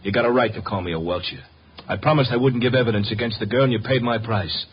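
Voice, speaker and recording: An actor playing a tough, cynical crook with blunt, slangy speech in a 1950s radio drama. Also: male voice, medium pitch, fast, close to an everyday voice. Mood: hard, blunt. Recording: dull, noisy 1950 radio recording.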